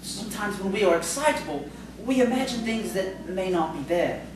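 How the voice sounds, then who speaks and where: A man's voice: high-pitched, animated, moderate pace. A man in an audio theatre play as a polite, bookish, somewhat pompous schoolteacher, speaking formally and hesitantly in long words. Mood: fascinated. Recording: very echoey, distant, noisy stage recording.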